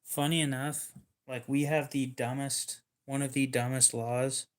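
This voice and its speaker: A man's voice: mid-range voice, little pitch movement, measured pace. A man hosting a livestream, chatting casually in a joking, sarcastic way with some swearing. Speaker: livestream host.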